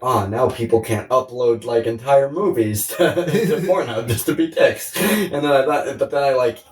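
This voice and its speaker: A man's voice: medium pitch, moderate pace. A man co-hosting a podcast, chatting casually and comedically about films in a rambling, profane way. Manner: rambling, profane, often laughing. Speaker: podcast co-host. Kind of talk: casual comedic film chat. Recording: roomy echo, distant from mic.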